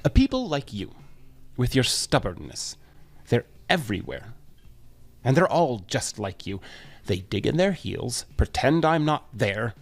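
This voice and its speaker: An actor playing Death as a wry, weary grim reaper, complaining conversationally in a comedic audio drama. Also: male voice, mid-range voice, big pitch swings, a little slow, vocal fry, exaggerated voice. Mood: weary, frustrated.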